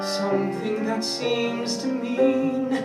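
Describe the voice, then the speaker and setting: Female cabaret singer, theatrical slow ballad with piano, captured from far back in a roomy hall. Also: a little high, fairly even intonation. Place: live cabaret stage in a theatre. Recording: echoey, distant live recording with loud piano.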